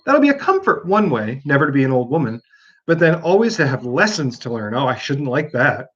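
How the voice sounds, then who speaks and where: An earnest young girl from a storybook, as a man reads her, musing and fretting to herself. The pitch moves about in a lively way. The recording sounds a bit dull. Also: brisk, breathy edge. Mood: musing, fretful. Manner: musing, fretful, talking to herself.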